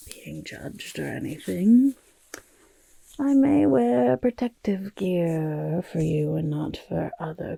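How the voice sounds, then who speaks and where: Very creaky, raspy goblin artist. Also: female voice, lowish voice, no room sound, full character voice. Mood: wary, polite. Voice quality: raspy, creaky, breathy half-whisper. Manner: third-person self-talk, hesitant pompous flattery. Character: vain, fussy, cautiously flattering goblin portrait artist. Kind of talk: ASMR roleplay, soft personal attention.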